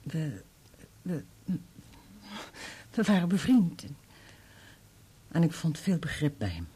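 A guarded, courteous married woman in a radio play. Her voice is fairly low, dark and husky. She holds back and picks her words with some hesitation. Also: big pitch swings, takes it slowly, full character voice. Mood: uneasy, reserved. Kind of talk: Dutch radio play acting.

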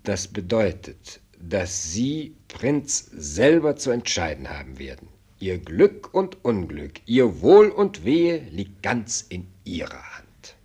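Tiefer, bedächtiger, rauer Staatsminister. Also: male voice, low voice, huge pitch swings, a little mellow, breathy edge, exaggerated voice. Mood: emphatic. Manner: deliberate, rhetorical, formal courtly address. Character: shrewd, blunt senior minister of state, courtly diplomat. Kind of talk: German radio play acting.

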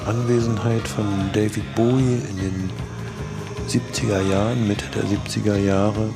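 A man recalling things in an interview, with a halting, hesitant delivery. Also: lowish voice, steady tone, vocal fry. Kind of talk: spontaneous interview recollection. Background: music with guitar.

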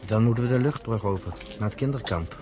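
A man's voice: mid-range voice, quick pace, close to an everyday voice. A man playing David, a fervent, stubborn underground fighter guiding a visitor through a camp, in a radio play. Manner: fervent, stubborn about his people's survival. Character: earnest male underground fighter David, middle-aged. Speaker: resistance leader guiding a visitor. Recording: noisy radio play recording.

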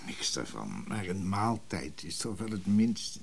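An actor playing a middle-aged, henpecked husband in a radio drama, hesitant and evasive, speaking haltingly. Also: male voice, deep voice, very animated, vocal fry, exaggerated voice. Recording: radio play with faint background noise.

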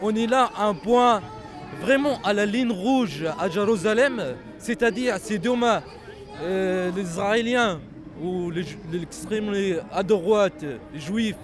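A man from Palestine, speaking French haltingly and passionately in a street interview, often raising his voice. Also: high-pitched, over music. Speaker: protester interviewed at a rally. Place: outdoor street demonstration. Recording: crowd noise at a street protest. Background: crowd of protesters.

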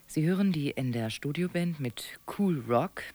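A man working as a radio announcer, reading formal scripted credits with measured, precise enunciation. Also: on the high side, lively intonation, darkish tone.

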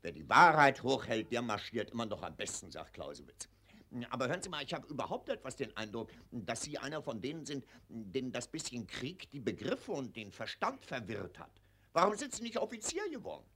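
An actor playing a pompous, patronizing older colonel in a 1940s radio play, blustering and lecturing. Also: male voice, medium pitch, big pitch swings, a little fast, bright, forceful tone, a little hiss or hum.

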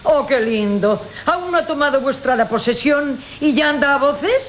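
High-voiced saucy maidservant played by a woman. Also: normal speed, lightly acted, poor audio. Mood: mocking, saucy. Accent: Castilian Spanish. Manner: sly, taunting. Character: saucy, mocking maidservant in a farce. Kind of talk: classical Spanish radio comedy acting. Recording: hissy 1980 radio broadcast recording.

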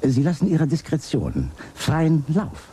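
A man playing a suave, quietly menacing gentleman extortionist, formal and deadpan, in a satirical radio play. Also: a little high, a little hiss or hum. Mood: wry. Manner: formal, deliberate, deadpan politeness.

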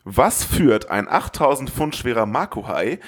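A man's voice: mid-range voice, very animated, a little slow, husky. A man hosting a podcast, reading a scripted film summary as a narrator. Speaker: podcast host.

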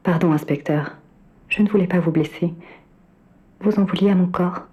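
An actress playing a devoted female AI assistant in a robot body, soft-spoken and politely formal in a French audio drama. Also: fairly low pitch, steady tone, moderate pace, muffled recording, natural voice. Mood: polite, calm. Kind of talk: French audio drama acting, intimate dialogue.

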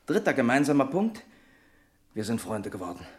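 A man's voice: medium pitch, very animated, moderate pace, lightly acted. An actor playing a hearty, persuasive trucker friend in a German radio crime drama, jovial and teasing, drawing out his announcements. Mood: persuasive, earnest.

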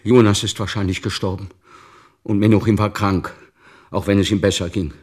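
An old man broken by grief and defiant in his bitterness. His voice is low and husky, with a rough edge. The delivery is brooding and anguished, in the manner of a serious radio drama. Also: steady tone, normal speed, darkish tone. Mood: agitated despair. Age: older. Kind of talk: dramatic radio play acting.

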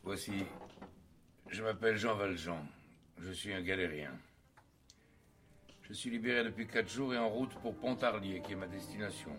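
An actor playing a weary, bitter freed convict in a radio drama. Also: male voice, on the low side, normal speed, long pauses, close to an everyday voice. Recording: loud music behind the voice.

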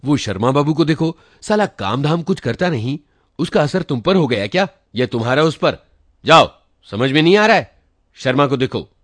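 Male reader as furious office boss, hectoring, loud, pitched up, story character, dry sound. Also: animated, normal speed, dry recording. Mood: angry scolding. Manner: harsh, hectoring, loud scolding. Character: angry scolding male officer berating his staff. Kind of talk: dramatized short story reading.

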